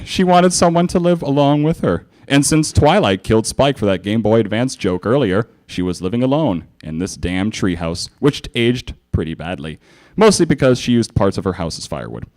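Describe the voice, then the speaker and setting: Male live reader for comic fan fiction: very animated intonation, theatrical and sardonic. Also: medium pitch, normal speed. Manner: theatrical, sardonic, with mocking asides. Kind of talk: live comic dramatic reading.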